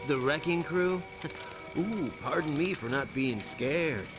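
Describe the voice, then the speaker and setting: A man's voice: on the high side, expressive, slow, over music. A man playing a wisecracking young superhero in a video game, casual, sarcastic and quippy. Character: wisecracking young male superhero, cheeky and irreverent. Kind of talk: video game cutscene acting.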